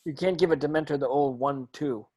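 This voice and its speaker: A man's voice: a little high, a little fast, darkish tone, lightly acted. A man playing a defiant, questioning teenage boy wizard in an amateur script read. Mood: terse.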